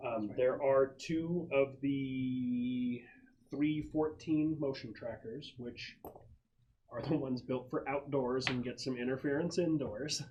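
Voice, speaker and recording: A man's voice: medium pitch, fairly even intonation, takes it slowly, muffled recording. A man explaining a tabletop game casually as game master, with hesitant, repeated words. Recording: clear background noise, clicks.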